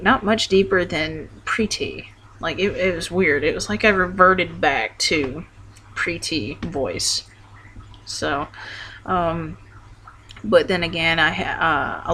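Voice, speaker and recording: A young man giving a casual video update, talking hesitantly with lots of ums and restarts. His voice wobbles between deeper and higher tones. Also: bright, forceful tone, slight background noise. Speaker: vlogger.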